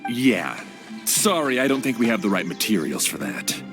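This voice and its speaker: An actor voicing a laid-back, friendly young caretaker in an anime fantasy game, speaking in a casual, slangy way. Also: male voice, fairly low pitch, very animated, moderate pace, music in the background. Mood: explaining.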